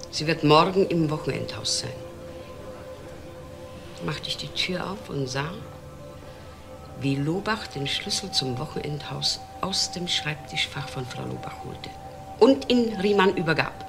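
An actor playing a quiet secretary who gives terse, measured testimony in a crime drama. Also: male voice, fairly high pitch, expressive, creaky, over music. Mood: subdued, deliberate. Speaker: actor in witness part.

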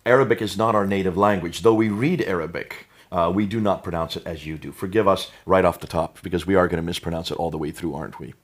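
Older American man, very low husky voice, steering the talk with leading questions in a book-lined study. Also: fast, few pauses. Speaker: co-host. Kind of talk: conversational discussion. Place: indoor study with bookshelves.

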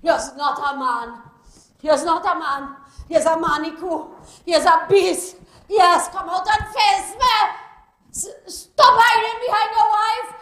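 A woman's voice: very high voice, takes it slowly, a bit bright, reverberant, voice pushed up, heavily acted. A shrieking scorned woman. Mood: mocking. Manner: screaming insults, singsong taunts. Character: furious scorned woman cursing her lover.

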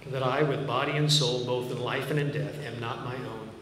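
A man's voice: medium pitch, fairly even intonation, normal speed. A man in his fifties with a Massachusetts accent, a pastor preaching earnestly and conversationally with dry self-deprecating humor, speaking from the stage of a large church auditorium. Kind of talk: sermon. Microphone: podium mic, filmed by a far camera. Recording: echoey hall from far off with noise.

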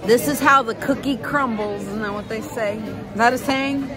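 A woman in her thirties hosting a casual food review vlog, chatty and lively, filmed at arm's length in a busy indoor restaurant. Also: on the high side, over loud music. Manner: chatty, lively, quick asides and laughs. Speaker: food vlogger host. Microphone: phone or camera at arm's length. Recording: noisy crowded restaurant. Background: crowd chatter.

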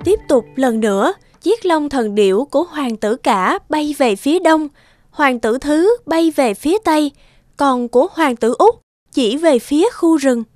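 A woman's voice: high-pitched, animated, measured pace, a little mellow. A woman narrating a scripted children's fairy tale. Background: background music.

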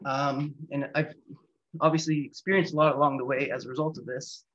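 Higher-voiced Canadian man on a dull call. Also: fairly even intonation, a little fast, a bit bright. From Canada, near Toronto. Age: 30s. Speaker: guest speaker, patient advocate. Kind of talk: seminar presentation with slides. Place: small room indoors, on a video call. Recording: dull lo-fi video call audio.

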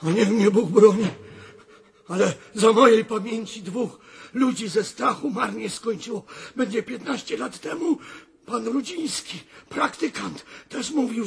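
A frightened old castle servant in a radio drama, with a very gravelly, badly husky voice. He speaks slowly and haltingly, pleading in a pious, rustic way about unclean forces. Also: high-pitched, heavily acted. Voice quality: hoarse, creaky. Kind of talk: radio play acting.

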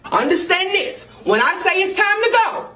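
A high-voiced woman delivers a stern, threatening lecture in short commands. She sounds like a parent setting rules for children. The audio is roomy and distant, like a phone video shot from across the room. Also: very animated, normal speed, sharp tone. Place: indoors in a home, standing across the room from the camera.

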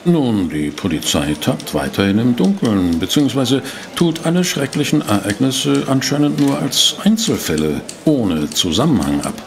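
An actor playing a courteous, inquisitive newspaper reporter in a German audio drama, speaking politely and formally. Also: male voice, mid-range voice, sing-song, moderate pace, few pauses, a little hiss or hum. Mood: curious, courteous. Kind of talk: German audio drama acting and first-person narration.

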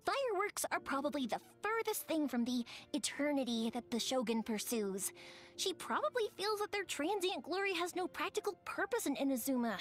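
A high, girlish video game heroine, a cheerful young fireworks maker. Her delivery swings widely in pitch, chatty and expressive, like someone eagerly sharing stories with a friend. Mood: thoughtful. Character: cheerful, warm-hearted young woman fireworks maker, lively storyteller. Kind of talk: video game character voice lines, conversational storytelling.

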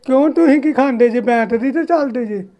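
Over a slightly noisy phone call, a man with a Punjabi accent speaks in a strikingly high voice. He plays a vegetable seller for a prank and keeps pressing his point in a pleading, insistent way. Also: brisk. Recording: phone call with faint noise.

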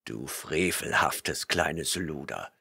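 A man's voice: a bass voice, lively intonation, takes it slowly, creaky, bright, forceful tone, slightly rough, voice pushed down, heavily acted. A man voicing a burly barbarian warrior who growls and mutters his questions, impatient and menacing, in an audiobook reading. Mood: gruff.

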